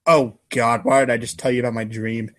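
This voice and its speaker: A man's voice: mid-range voice, flat delivery, fairly quick, close to an everyday voice. Man playing aloof, slangy teenage boy. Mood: uneasy, rambling. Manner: casual, rambling, affectedly cool.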